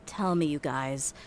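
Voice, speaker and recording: Tough adult mercenary, deep female voice, dry, blunt, casual, video game dialogue, slight background noise. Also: heavily acted.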